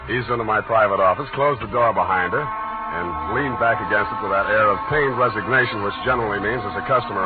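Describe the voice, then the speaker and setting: Guy acting wry hard-boiled detective, lowish voice, offhand, old 40s radio drama, tinny sound. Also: close to an everyday voice. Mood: dictating, wry. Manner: wry, offhand, punctuated with uhs. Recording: narrow-band, noisy 1940s radio recording.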